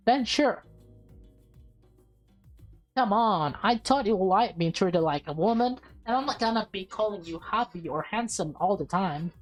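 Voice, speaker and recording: A woman's voice: medium pitch, darkish tone, natural voice. A woman voicing a tender, grateful young lover in a visual novel.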